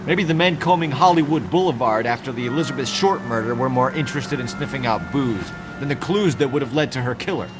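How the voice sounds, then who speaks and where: An actor playing an earnest, self-assured by-the-book police detective in a crime drama game, speaking formally and precisely. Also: male voice, medium pitch, expressive, fairly quick, few pauses, noisy background, close to an everyday voice. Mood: earnest, righteous.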